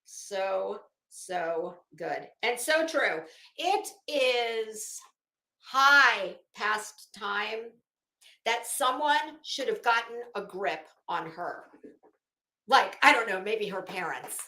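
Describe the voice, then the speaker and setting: A woman's voice: medium pitch, expressive, drawn-out words, bright, forceful tone. A middle-aged woman giving casual, opinionated commentary in a sassy, sarcastic manner, drawing out words for dramatic emphasis. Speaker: host of an online commentary show. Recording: roomy, echoing sound.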